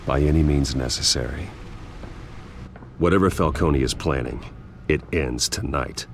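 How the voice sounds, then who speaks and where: An actor playing a brooding, determined vigilante billionaire in a video game, speaking tersely and deliberately with a serious manner. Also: male voice, a bass voice, gravelly, a little hiss or hum, exaggerated voice. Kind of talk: dramatic video game acting.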